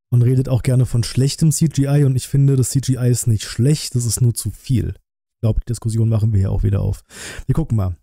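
A man's voice: mid-range voice, mellow, dry recording. A man in his thirties giving casual reaction commentary as a streamer, in blunt, slangy, colloquial speech on a close microphone. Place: small indoor streaming room. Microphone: close desk microphone.

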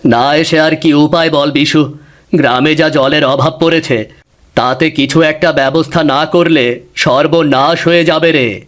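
A man's voice: on the high side, steady tone, a little slow, fairly bright tone. A man voicing a middle-aged village master in a concerned, plain-spoken way. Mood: resigned.

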